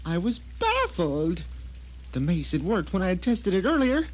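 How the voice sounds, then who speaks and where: A man plays a bitter, vindictive captive telling his own story in an audio drama, in a notably high male voice. The delivery is melodramatic and sardonic, each phrase placed deliberately, with a faint rain-like noise behind it. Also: sing-song. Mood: baffled, angry. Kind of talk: dramatic first-person audio drama monologue.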